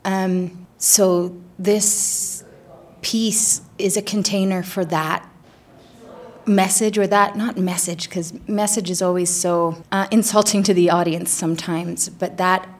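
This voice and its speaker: Earnest woman, flat even delivery. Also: fairly low pitch, slow. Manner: earnest, searching for words. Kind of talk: reflective interview.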